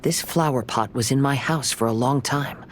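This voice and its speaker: A man playing a gentle, thoughtful young swordsman in a video game, speaking in a measured, courteous way. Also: medium pitch, a little hiss or hum, lightly acted. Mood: reflective.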